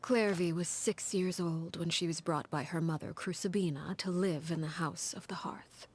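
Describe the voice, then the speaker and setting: A cold, composed woman tells a dark tale in a soft, breathy half-whisper. Her voice sits low and stays measured and grave, as in a game cutscene. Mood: grave, calm. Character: cold, composed woman recounting a grim past. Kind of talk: game story cutscene dialogue.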